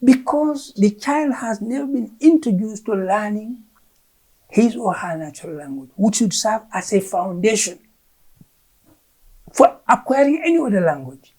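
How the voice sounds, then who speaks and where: Gambian woman, Fula native speaker, husky and mellow; an emphatic official explaining policy, repeating words mid-thought. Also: medium pitch, lively intonation, unhurried, rough recording. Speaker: curriculum official, interview guest. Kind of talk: explaining education policy in an interview.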